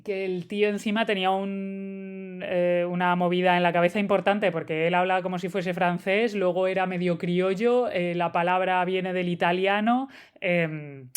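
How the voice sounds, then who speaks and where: A woman's voice: on the low side, fairly even intonation, normal speed, hardly any pauses, clean tone. A woman co-hosting a podcast in casual chat, with a Madrid accent, pressing her point insistently. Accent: Madrid Spanish. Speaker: podcast co-host.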